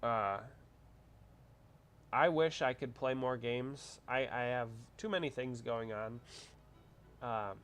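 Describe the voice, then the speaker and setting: A man's voice: medium pitch, slow pace, pauses often, fairly bright tone, clean tone. A man hosting a casual livestream, congested from a cold, rambling as he chats with viewers. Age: adult with a wife. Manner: chatty, rambling, reads out viewer comments. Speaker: livestream host.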